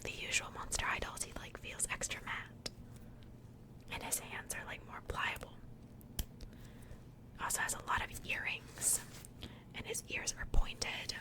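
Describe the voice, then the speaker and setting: A woman speaking in a soft, breathy whisper, chatting casually and unhurriedly in the style of an ASMR unboxing. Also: low-quality recording. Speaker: ASMR video creator.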